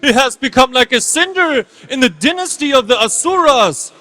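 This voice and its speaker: A man performs an unctuous and scornful religious teacher on stage. His high, piercingly bright voice is declamatory and theatrical, carried by heavy hall reverb. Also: animated, moderate pace, full character voice. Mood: indignant. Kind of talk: stage dramatic reading.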